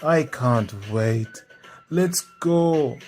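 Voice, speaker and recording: An impatient, hungry young fox from a children's storybook, acted out by a man. His voice is lifted and whiny, full of exclamation, with drawn-out slow phrasing and music behind it. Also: a little high, fairly even intonation, a little mellow, pitched above the performer's own voice.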